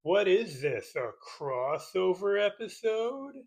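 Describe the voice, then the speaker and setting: A man with a fairly high voice hosts a scripted comedic commentary. He sounds sarcastic and pedantic, picking at each point as he reads. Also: big pitch swings, slow, vocal fry, husky. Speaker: host of a movie-criticism parody video.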